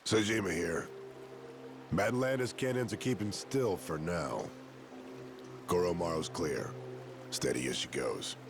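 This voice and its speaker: A businesslike male ship's lookout in a video game reports in a low, steady voice. Prominent music plays behind him. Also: a little slow, pauses often. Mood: calm, alert.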